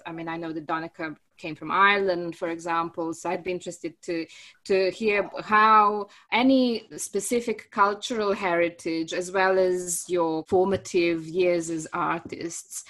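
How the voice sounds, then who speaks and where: A woman interviewing theatre makers, asking long, winding questions with fillers. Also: fairly low pitch. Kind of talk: discussion interview hosting.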